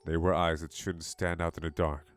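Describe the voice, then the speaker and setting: A man's voice: low-pitched, flat delivery, moderate pace. A man giving a dramatic scripted narration of a horror story. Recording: music behind the voice.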